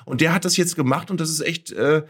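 A man's voice: a little high, quick pace, a bit bright, slightly rough. A middle-aged man talking reflectively and conversationally as co-host of a football discussion. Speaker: podcast co-host.

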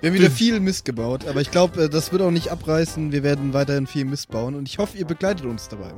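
A man's voice: on the high side, animated, fast, a little mellow, faint music behind. A man chatting casually as one of a podcast's cast. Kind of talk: casual chat.

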